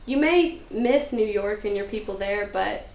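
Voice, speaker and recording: A woman reading the part of a reflective, hopeful high school cheerleader in a table read. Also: measured pace, a little mellow, lightly acted. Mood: earnest, reassuring. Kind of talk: cold table read of a film script. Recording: roomy echo with faint noise.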